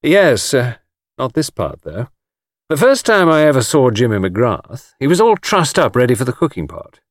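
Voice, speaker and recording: Man playing a breezy, lively gentleman adventurer. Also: mid-range voice, dry recording, clean studio sound. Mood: offhand. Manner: offhand, glib, brisk. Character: breezy, flippant gentleman adventurer working as tour guide. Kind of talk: audiobook character voice.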